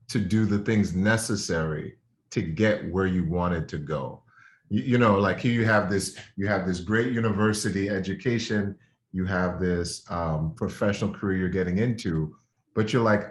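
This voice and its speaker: Man, low voice, interview host, enthusiastic, echoey room. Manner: enthusiastic, interrupts to ask follow-up questions.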